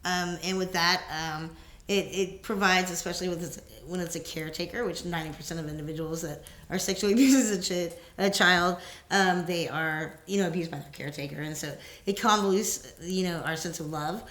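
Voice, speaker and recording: A woman co-hosting a faith podcast, affirming her partner and then telling her own testimony in a steady, articulate way. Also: fairly low pitch, a little fast, roomy sound. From Houston, Texas. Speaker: podcast co-host. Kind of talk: casual conversation and personal testimony.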